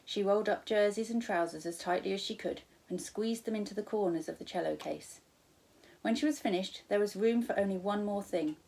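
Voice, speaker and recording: A woman's voice: medium pitch, a little fast, vocal fry, darkish tone, rough recording. A woman with a British accent, a primary school teacher, narrating a children's storybook expressively.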